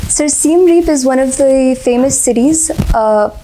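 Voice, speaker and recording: A young woman in her twenties with an American accent and a Hindi-speaking home, answering interview questions politely, often saying "sir" and pausing on "uh". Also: high-pitched, background noise. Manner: polite, says sir often, frequent uh fillers, light laughs. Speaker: interview candidate. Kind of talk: formal mock interview answers.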